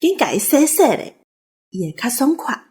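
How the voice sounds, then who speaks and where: A man's voice: very high-pitched, very animated, a little mellow, breathy edge, voice pushed up, full character voice. A man voicing a fond, bossy old country grandmother with a Taiwanese accent in an audio drama. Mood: urgent, fussing. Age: older. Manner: doting, chiding, drawn-out coaxing.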